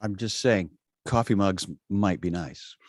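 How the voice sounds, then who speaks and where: A man's voice: low-pitched, unhurried, a little mellow, no room sound, studio quality. A man chatting casually as a member of an online panel. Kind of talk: casual chat. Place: remote video call.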